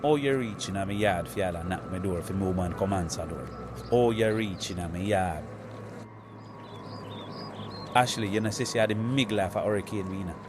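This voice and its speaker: An actor with a Jamaican accent playing a man caught between two women, talking in excitable patois in a comedy skit. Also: deep voice, background noise, close to an everyday voice. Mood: indignant. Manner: excitable, rapid indignant patois.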